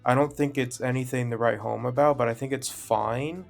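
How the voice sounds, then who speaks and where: A young man co-hosting a casual podcast, giving measured opinions and hedging often. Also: mid-range voice, fairly even intonation, creaky, faint music behind. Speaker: podcast co-host. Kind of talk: casual chat.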